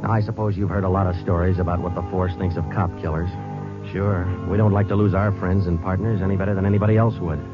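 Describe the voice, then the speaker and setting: Low, clipped deadpan detective, played by a man. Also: quick pace, lightly acted. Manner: deadpan, clipped, matter-of-fact. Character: terse, dry male police detective narrating his case. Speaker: police detective and narrator. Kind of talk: 1950s radio crime drama, clipped narration. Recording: muffled old radio recording with music.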